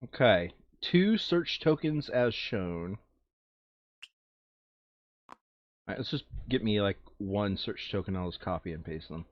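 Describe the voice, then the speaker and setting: A man's voice: on the low side, lively intonation, unhurried, long pauses, no echo. A man chatting casually and thinking aloud as he plays a board game, sometimes muttering to himself, on a slightly dull lo-fi microphone. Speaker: board game player recording a playthrough.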